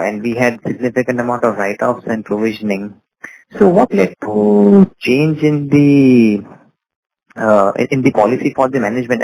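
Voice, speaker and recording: A man's voice: mid-range voice, a little mellow, rough recording. A man with an Indian accent calling in as an analyst, asking questions politely and hesitantly on an earnings call.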